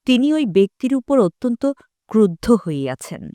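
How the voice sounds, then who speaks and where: A woman narrating an audio drama from a script in formal, measured literary language. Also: fairly high pitch, very animated, mellow, dry recording, studio quality. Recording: music behind the voice.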